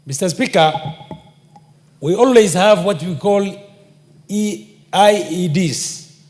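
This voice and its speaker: A Kenyan man speaks very slowly and deliberately in a parliamentary debate, his voice sitting a little high. The chamber's long reverb lingers behind his measured phrases at the desk microphone. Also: a little mellow. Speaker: member of parliament. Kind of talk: parliamentary speech.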